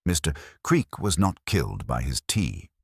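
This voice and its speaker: A mild, methodical police inspector played by a male narrator lays out a case in a mystery drama. The voice is extremely deep and formal, with lively movement in pitch. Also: measured pace, husky, dry recording, studio quality, exaggerated voice. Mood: mild, exact.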